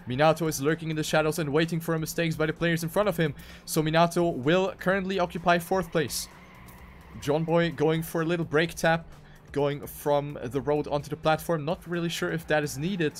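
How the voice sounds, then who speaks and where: A man's voice: on the high side, steady tone, a little fast, slight background noise. A man doing live esports commentary on a racing game, with dramatic, emphatic phrasing.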